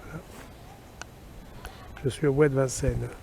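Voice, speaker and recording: A man's voice: mid-range voice, fairly even intonation, unhurried, vocal fry, a little mellow. A man talking casually outdoors as an amateur radio operator, in vlog style and on radio calls. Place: outdoors in a wooded park. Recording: outdoor ambience, faint background noise.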